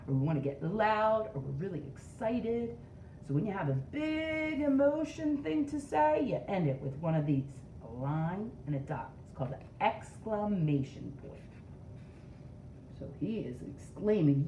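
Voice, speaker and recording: A woman's voice: mid-range voice, dark tone, recorded from a distance. A woman reading a picture book aloud to young children and explaining it as she goes. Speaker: storybook read-aloud reader. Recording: roomy echo, dull sound, faint noise.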